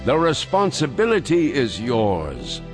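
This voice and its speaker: A man's voice: mid-range voice, wildly swooping pitch, unhurried, faint music behind, voice pushed up. A man voicing an insistent Roman governor. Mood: questioning. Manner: questioning, insistent. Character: stern Roman governor questioning a crowd.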